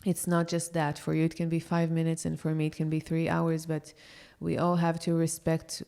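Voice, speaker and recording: Low, smooth, flat-voiced woman from Israel. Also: normal speed. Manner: thoughtful, hesitant, many fillers. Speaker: yoga teacher, podcast guest. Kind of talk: casual interview talk.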